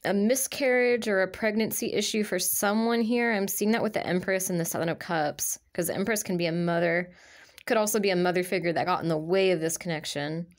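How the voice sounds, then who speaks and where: A woman's voice: fairly low pitch, brisk. A woman with a stuffy nose from allergies, reading tarot cards aloud in a loose, rambling way, full of fillers and restarts. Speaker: tarot reader. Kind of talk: casual tarot reading monologue. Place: indoors at a table of tarot cards.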